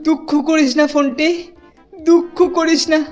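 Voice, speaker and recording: A woman's voice: sits high, flat delivery. A woman voicing a bossy, boastful teenage boy in a cartoon who talks in a pompous way. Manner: bossy, pompous, quick to panic. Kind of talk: animated cartoon dubbing. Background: cartoon background music.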